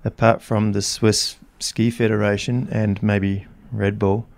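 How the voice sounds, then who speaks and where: Gravelly, hesitant male podcast guest. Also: on the low side, level tone, unhurried, a little mellow, no echo. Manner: hesitant, full of restarts and "I mean". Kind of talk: casual chat.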